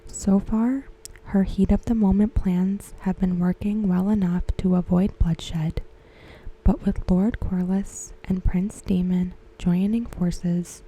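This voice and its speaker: A woman speaks in a hushed, breathy whisper, very mellow and soft. She reads slowly, as an ASMR host would, with gentle, measured and carefully phrased narration. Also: medium pitch, steady tone, low-quality recording. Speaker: ASMR host reviewing a TV episode. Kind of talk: soft-spoken ASMR, scripted recap narration.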